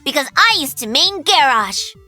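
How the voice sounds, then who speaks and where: Perky, high-pitched gamer girl pilot. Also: sing-song, moderate pace, sharp tone, soft background music, exaggerated voice. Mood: cocky, playful. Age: young adult. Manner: playful, cheeky, bubbly. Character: perky cocky young female pro-gamer mech pilot. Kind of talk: video game character voice lines.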